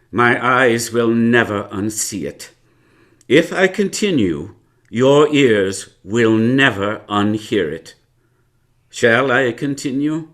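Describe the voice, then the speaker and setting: A haunted older uncle in a radio play. He tells his tale very slowly and gravely, with lively swings of pitch and hesitant, deliberate phrasing. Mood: troubled, hesitant. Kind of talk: live radio drama acting.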